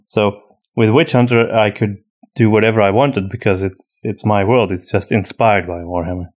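A man's voice: lowish voice, fairly even intonation, normal speed. Man chatting casually as a podcast host. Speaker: podcast host and audio drama writer. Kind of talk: casual chat answering listener questions.

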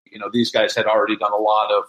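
A man with a fairly low voice talks as a guest in a casual interview. He explains things in a conversational way, like a producer walking someone through his work. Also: monotone, fast talker, fairly bright tone, a little hiss or hum, poor audio.

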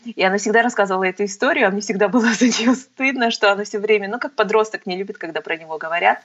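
A woman in her thirties, a podcast guest, telling her life story in a reflective, unhurried way with frequent fillers. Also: medium pitch, a bit bright, dull audio. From Kazakhstan. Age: 30s. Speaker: guest, tax consultant. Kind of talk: casual interview storytelling.

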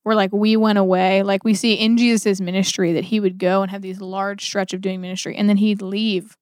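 A woman's voice: medium pitch, level tone, brisk, a little mellow, clear, resonant tone, dry recording, clean studio sound. A woman co-hosting a podcast, reflecting on religious ideas conversationally, with frequent use of "like". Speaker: podcast co-host. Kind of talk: casual religious discussion.

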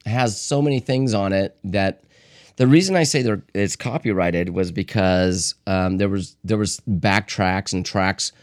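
A man's voice: low-pitched, unhurried, no echo, studio quality. A man co-hosting a casual beer-tasting chat, rambling and joking, often restarting his sentences. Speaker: podcast co-host.

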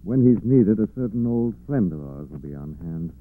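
Casting: secretive gentleman sleuth, authoritative with lively swoops, vintage radio mystery, thin old sound. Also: low voice, normal speed. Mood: calm, urgent. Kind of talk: 1940s radio acting. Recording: thin, narrow-band old radio recording.

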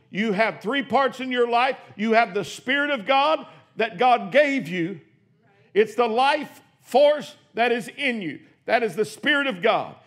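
A man in his sixties preaching a sermon in a church, swinging from hushed, quick asides to shouted exclamations. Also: very high voice. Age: 60s. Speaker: pastor. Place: church sanctuary.

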